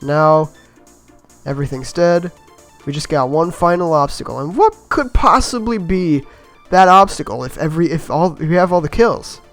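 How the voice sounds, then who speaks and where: A man with a slightly raspy voice from being a bit sick, giving easygoing, rambling voice-over commentary on his own game run and laughing at his own mistakes. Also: on the high side, lively intonation, normal speed, a bit bright, faint music behind. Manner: easygoing, rambling, self-mocking with chuckles. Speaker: gameplay commentator.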